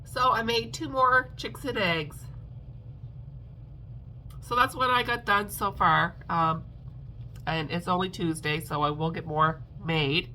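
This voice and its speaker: A woman's voice: fairly low pitch, takes it slowly, long pauses, pushed and bright, slight background noise. A woman crochet vlogger chatting casually at home, stuffed up and congested, rambling with lots of ums and little laughs. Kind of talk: casual chat to camera.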